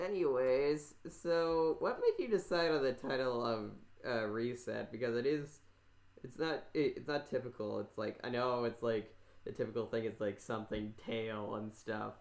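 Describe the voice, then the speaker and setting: A man's voice: mid-range voice, unhurried, roomy sound. A man hosting a casual interview podcast, rambling and often stumbling over repeated words. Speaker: podcast host interviewing a creator.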